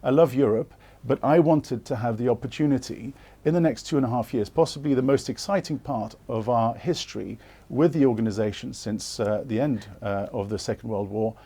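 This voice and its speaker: A man being interviewed on live political TV, earnest and insistent, pausing often with hesitations as he makes his case. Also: mid-range voice, mellow, husky. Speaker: interviewee, former political candidate.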